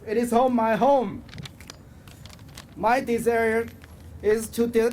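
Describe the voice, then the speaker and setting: Male activist speaker, slow and halting prepared address, outdoor rally with street noise. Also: extremely high pitch, darkish tone. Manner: measured, halting phrasing with pauses.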